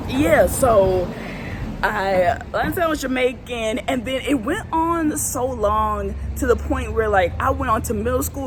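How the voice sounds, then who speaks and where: A young woman casually telling a funny childhood story on her vlog, laughing and acting out voices. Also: on the high side. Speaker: vlogger telling personal stories. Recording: clear background noise, vehicle ambience.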